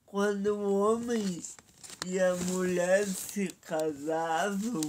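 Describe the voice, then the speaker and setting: A man preaching a Bible sermon. He has a cold, so his voice is nasal and stuffy, and he speaks in a deliberate way, pausing between phrases. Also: high-pitched, steady tone, slight background noise. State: has a cold, blocked nose.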